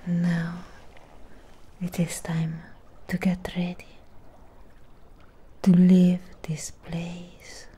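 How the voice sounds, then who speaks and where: Female Frankenstein creature, played by a woman, devoted and possessive. Low, hushed ASMR whisper with very little pitch movement, soothing, close-miked. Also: completely flat delivery, a little hiss or hum. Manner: whispered, slow, soothing, drawn-out phrases. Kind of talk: ASMR roleplay, close-up whispering and soft talking. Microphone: close-up microphone.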